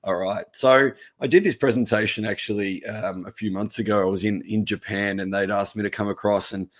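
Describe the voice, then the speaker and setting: A man, a tennis coach, giving a relaxed presentation with a croaky voice. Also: fairly low pitch, a little fast. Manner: steady explaining, relaxed. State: hoarse.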